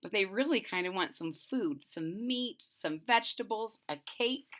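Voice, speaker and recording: A woman reading a picture book aloud for children, pausing to explain it simply in a deliberate, teacherly way. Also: medium pitch, animated, fairly bright tone.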